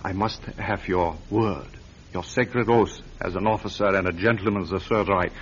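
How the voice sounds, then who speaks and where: A man's voice: sits low, steady tone, normal speed, slight background noise, close to an everyday voice. A man playing a pompous Nazi major. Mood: grandiose. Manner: grand, ceremonious, commanding. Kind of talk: 1940s radio acting. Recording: lo-fi vintage radio recording.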